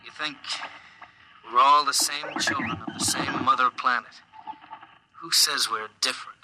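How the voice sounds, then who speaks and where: An actor playing a lonely stranded astronaut in an old radio drama, musing in a halting, introspective way. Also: male voice, mid-range voice, pushed and bright, rough recording. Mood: wistful. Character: lonely stranded astronaut lieutenant, man, wistful, strained, musing. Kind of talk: mid-century radio drama monologue. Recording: old radio recording with faint noise.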